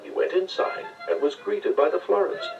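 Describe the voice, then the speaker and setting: A man reading scripted children's read-along narration with expressive storytelling delivery. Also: high-pitched, normal speed, vocal fry, recorded from a distance, highs cut off, soft background music.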